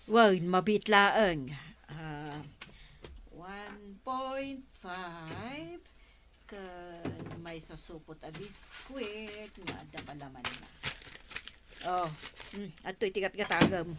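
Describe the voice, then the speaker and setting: Nosy village storekeeper, Ilocano accent, slow and swooping, radio comedy. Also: female voice, fairly low pitch, animated, very noisy. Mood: doubtful.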